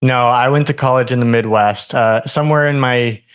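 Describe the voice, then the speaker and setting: Flat-voiced young man from Hawaii. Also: mid-range voice, normal speed, clear, resonant tone. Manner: relaxed, filler-heavy storytelling with many ums and likes. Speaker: guest. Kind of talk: casual interview chat.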